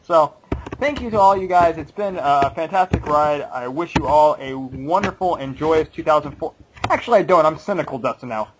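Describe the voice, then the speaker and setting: A man in his late twenties co-hosting a podcast with a fairly high voice. He chats casually, laughs easily and plays at being cynical. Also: animated, moderate pace, noisy background. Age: late 20s. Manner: laughs often, playfully cynical. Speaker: podcast co-host. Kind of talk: casual chat.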